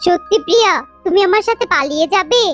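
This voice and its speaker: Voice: very high-pitched, fast talker, a bit bright, soft background music. Someone doing a melodramatic lovestruck ant suitor. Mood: romantic. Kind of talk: cartoon voice acting, romantic melodrama.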